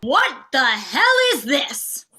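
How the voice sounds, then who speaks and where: A woman plays a betrayed, fed-up wife in a comedy read. She is confrontational and biting, with a high, forceful voice. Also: big pitch swings, takes it slowly, slightly rough, full character voice. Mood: outraged. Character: Mrs. Claus, scornful, furious, fed-up betrayed wife.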